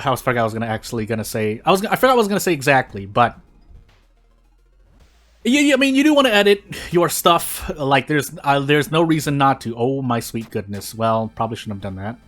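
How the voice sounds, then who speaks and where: A man's voice: mid-range voice, very animated, a little fast, a bit bright, faint music behind. A man giving casual gameplay commentary in a rambling, self-interrupting, self-deprecating way, often losing his train of thought. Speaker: video creator and gamer.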